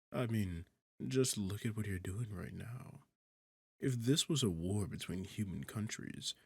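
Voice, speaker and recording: A man's voice: fairly low pitch, no room sound, full character voice. A man playing a sarcastic, seductive, arrogant demon king in an intimate ASMR fantasy roleplay, teasing and condescending as he talks. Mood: sardonic, firm. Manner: sardonic, condescending, teasing, smooth-talking. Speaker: audio roleplay performer.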